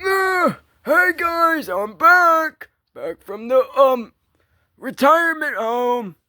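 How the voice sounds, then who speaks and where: Man doing a very high, goofy nautilus creature. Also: animated, slow pace, sharp tone, no room sound, clean studio sound, heavily acted. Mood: cheerful. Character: squeaky, silly, boastful prehistoric nautilus creature.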